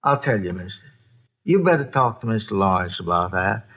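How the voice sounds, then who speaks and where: A man's voice: on the low side, a little fast. An actor playing a folksy, boozy older American man who rambles and repeats himself in an old radio drama. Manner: rambling, repetitive, folksy, excitable. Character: rambling, boozy, folksy male neighbor witness. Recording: dull, lo-fi old radio recording.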